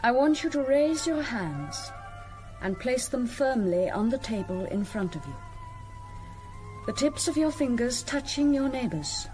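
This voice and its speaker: An actress playing a composed woman spirit medium in a British radio drama, giving formal, measured instructions. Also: on the high side, sing-song, a little mellow, faint music behind, rough recording. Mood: calm, commanding.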